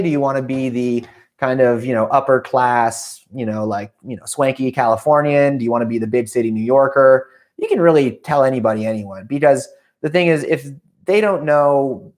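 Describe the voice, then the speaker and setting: A man's voice: mid-range voice, darkish tone. American guy rambling on his podcast, lots of um and uh, fixes his words as he goes, regular mid voice. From United States. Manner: rambling, filler-heavy, self-correcting. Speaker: podcast host. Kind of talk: casual solo podcast monologue.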